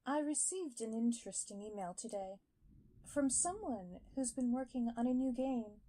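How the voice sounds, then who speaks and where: A woman playing a detached scientist in a scripted audio log, speaking in a measured, hesitant, matter-of-fact way. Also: fairly high pitch, dark tone, slight background noise, close to an everyday voice. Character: detached female scientist logging secret creature experiments.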